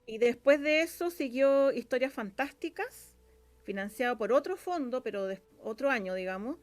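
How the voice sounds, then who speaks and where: High-voiced Chilean woman chatting. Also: animated, moderate pace. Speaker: interview guest, radio drama scriptwriter. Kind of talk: casual interview conversation.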